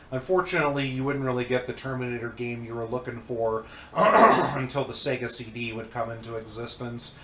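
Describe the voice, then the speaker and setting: A man's voice: mid-range voice, fairly bright tone. Congested man, rambling casual chat, echoey room, faint hiss. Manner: rambling, many fillers and restarts. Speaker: co-host reviewing old magazines.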